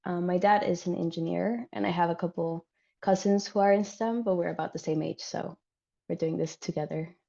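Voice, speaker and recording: A woman's voice: fairly low pitch, fairly even intonation, a little fast, creaky, mellow, lo-fi sound. Earnest woman from Mexico, PhD student. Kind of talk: panel discussion. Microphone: video call.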